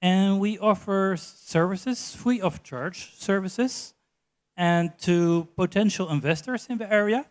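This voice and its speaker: A man's voice: sits high, takes it slowly. A man representing an economic development agency, explaining its services carefully and hedging his wording. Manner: careful, hedging with filler phrases. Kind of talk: trade show interview.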